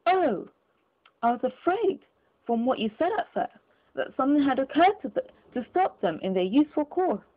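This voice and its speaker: A woman's voice: high-pitched, very animated, moderate pace, voice pushed up. A woman voicing a cold, curt, indignant old miser in a dramatised storybook reading. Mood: sharp, sardonic. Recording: muffled phone-like narrow sound.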